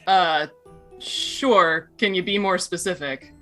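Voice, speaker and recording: Woman voicing blunt skeptical knight, lowish bright voice, dry questioning, tabletop roleplay, music behind. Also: takes it slowly.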